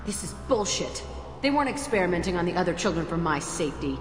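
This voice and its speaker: A woman's voice: on the low side, sing-song, fast. An actress playing a tough, bitter young woman who talks bluntly and swears freely. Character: tough, profane young woman, a former test subject. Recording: reverberant with loud game music.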